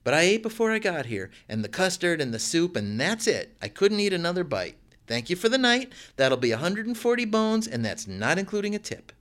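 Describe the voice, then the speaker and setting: A man's voice: sits high, big pitch swings, fairly quick, few pauses, a bit bright, pitched above the performer's own voice. A man voicing a blunt, impatient young woman who complains openly. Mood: brisk.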